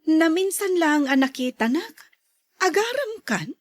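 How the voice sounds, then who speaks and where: A woman's voice: extremely high pitch, sing-song, a little slow, dry recording, heavily acted. A woman with an Ilocano accent acting a coy, demure young lady in a radio drama. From Philippines.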